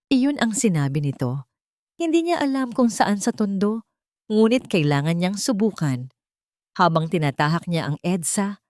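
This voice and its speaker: A woman narrating a scripted dramatic story in a suspenseful way, pausing between short phrases. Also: mid-range voice, a little mellow, no room sound, clean studio sound.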